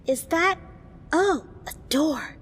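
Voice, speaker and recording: A woman playing an excitable, mischievous, greedy young adventurer in an audio drama, playful and cheeky, with reverb. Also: high voice, takes it slowly, a little hiss or hum. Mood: curious. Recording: long reverb, music behind.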